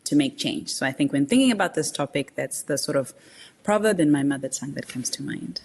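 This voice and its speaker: Husky low-voiced Zimbabwean woman. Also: expressive, brisk, a little mellow. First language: Shona. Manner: thoughtful, explanatory, with frequent fillers. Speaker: guest, tax justice executive director. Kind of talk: interview answers.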